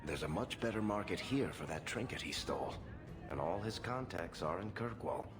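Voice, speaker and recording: A man's voice: sits low, expressive, normal speed, music in the background. An actor playing a sardonic, roguish male dwarf in a video game, speaking in a cool, confiding way. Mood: sardonic, bitter.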